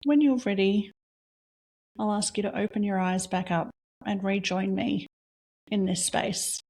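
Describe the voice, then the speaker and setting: A woman leading a guided reflection exercise, asking slow, soft questions with long pauses between them. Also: medium pitch, steady tone. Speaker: meditation guide.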